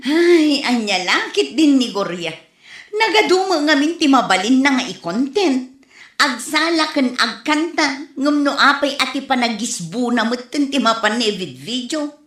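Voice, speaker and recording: Woman acting as feisty ilocano village wife, comedy drama, high lively voice, echoey room. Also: unhurried, exaggerated voice. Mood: disapproving. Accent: Filipino (Ilocano).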